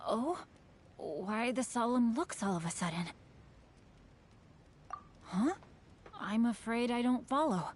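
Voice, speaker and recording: Courteous, high-voiced young scholarly boy. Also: lively intonation, slow, long pauses, a little hiss or hum. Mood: flustered. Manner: formal, courteous, articulate. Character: polite, bookish young nobleman novelist, a boy. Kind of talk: video game voice acting.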